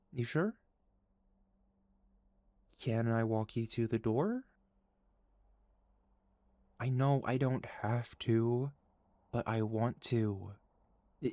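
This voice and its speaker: A man playing a gentle, caring young boyfriend in an intimate ASMR roleplay, speaking softly and sincerely to an anxious partner. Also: medium pitch, no echo. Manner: soft, sincere, tender, halting when worried.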